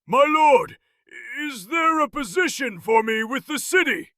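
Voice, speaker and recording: A man's voice: very high voice, very animated, a little slow, pushed and bright, no room sound, studio quality, exaggerated voice. An actor voicing a servile, gushing underling who begs his betters in a fantasy audiobook. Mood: pleading. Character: fawning, begging male underling. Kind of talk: audiobook character acting.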